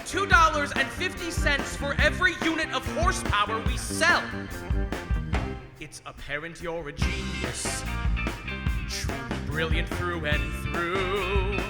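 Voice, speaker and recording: An actor playing a confident, wealthy industrialist in a stage musical, persuading like a smooth salesman in song and speech. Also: high voice, lively intonation, unhurried, echoey room, over loud music. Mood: eager, persuasive. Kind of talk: sung and spoken musical theatre.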